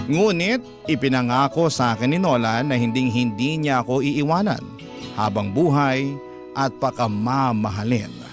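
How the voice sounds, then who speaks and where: A man with a Filipino accent reading a listener's letter as measured, sentimental scripted radio narration. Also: medium pitch. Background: background music.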